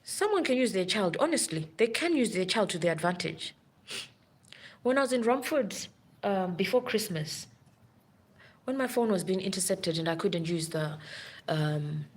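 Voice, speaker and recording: A woman's voice: on the low side, vocal fry, breathy edge. A woman who works as a nurse, telling a long story in a rambling, urgent way on a live stream. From Africa.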